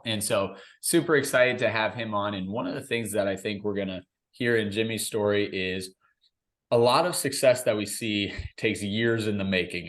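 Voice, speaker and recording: A man with a fairly low-pitched voice speaks as the host of an interview podcast. His delivery is conversational, as when presenting a guest or putting a question to one. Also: fairly bright tone.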